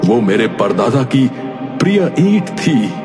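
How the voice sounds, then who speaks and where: A man's voice: medium pitch, sing-song, slow, in a large hall, music in the background. A man voicing a king who speaks in a formal, royal way. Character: anxious old-fashioned male king.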